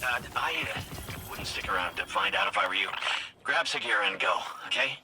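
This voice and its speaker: An actor playing an eager, rueful informant in a video game, talking in a hesitant, chatty, excitable way. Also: male voice, low voice, a little fast, noisy background. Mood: nervous. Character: eager, rueful male informant pleading his good faith. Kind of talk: video game dialogue acting.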